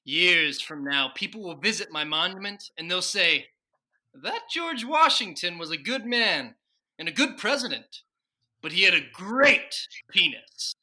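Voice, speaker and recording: A man playing a vain, excitable president in a radio comedy sketch, speaking pompously and emphatically. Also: sits high, a little slow, heavily acted. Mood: grandiose, excited. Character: vain, excitable male president George Washington. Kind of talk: old-time radio sketch comedy parody.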